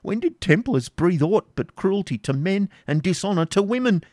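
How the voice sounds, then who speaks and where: Male reader as a frail old father, high strained voice, pleading and passionate, dry sound. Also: moderate pace, darkish tone, no room sound. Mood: defiant. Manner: impassioned, imploring.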